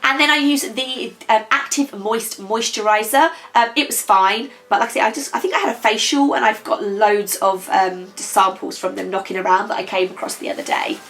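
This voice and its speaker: A young woman with a slightly croaky voice, chatting casually through a beauty product review and rambling with frequent ums. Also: medium pitch, normal speed, hardly any pauses, fairly bright tone. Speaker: beauty vlogger. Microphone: camera.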